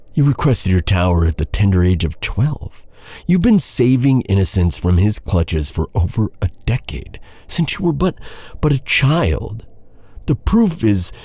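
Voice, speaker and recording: A man playing an earnest, anguished knight in a dark fantasy audio roleplay, speaking gravely in halting, formal, old-fashioned phrases. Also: low-pitched, no echo, full character voice. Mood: disbelieving, halting. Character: earnest, anguished male knight confronting a captured princess.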